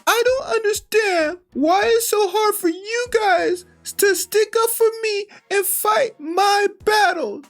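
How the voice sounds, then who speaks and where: A man voicing a whiny, sobbing young woman in a high, tearful, mocking imitation. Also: slow, few pauses, soft background music, full character voice. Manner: mocking exaggerated crying imitation.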